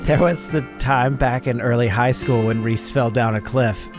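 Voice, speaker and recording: A man's voice: medium pitch, steady tone, normal speed, over music, natural voice. Man voicing a breezy, chatty young woman. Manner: breezy, rambling, shrugs off danger. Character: chatty, upbeat young woman who hunts cryptids. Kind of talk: reading visual novel dialogue aloud.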